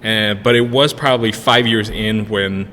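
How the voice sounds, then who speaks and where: A man in his thirties with a fairly low voice talks in a loose, halting way, full of "uh" and restarts. Spanish is his first language. He is recorded in a reverberant room with a faint background hiss. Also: steady tone, pushed and bright. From Spanish-speaking country, moved to the US in 1999. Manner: rambling, many "uh" fillers and restarts. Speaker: podcast guest, brewery co-owner. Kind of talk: casual interview answers.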